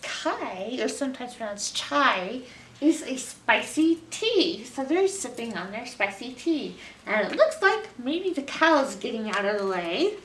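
A middle-aged American woman leading a children's story time, expressive as she talks to young listeners. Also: on the high side, unhurried, slight background noise. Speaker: librarian storyteller. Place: small library room, indoors. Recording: roomy, picked up from a distance.

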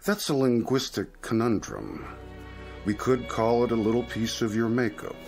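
An actor playing a suave devil who coaxes like a genteel salesman, with drawn-out theatrical phrasing, in a radio drama. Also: medium pitch, gravelly, a little mellow, faint music behind, close to an everyday voice. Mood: persuasive.

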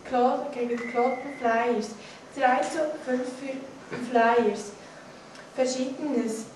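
High-voiced teenage girl reading slowly. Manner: careful reading with stumbles over words. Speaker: student news presenter. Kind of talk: read-aloud news bulletin. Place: indoor school classroom, seated at a desk. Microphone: distant camera microphone. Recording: echoey classroom, distant mic, faint noise.

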